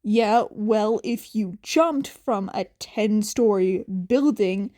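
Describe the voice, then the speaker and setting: A woman voicing a blunt, stern teenage boy with a deadpan, grumbling way of talking in fan fiction dialogue. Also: mid-range voice, takes it slowly, pitched below the performer's own voice, lightly acted. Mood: argumentative. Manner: deadpan, grumbling, plain-spoken.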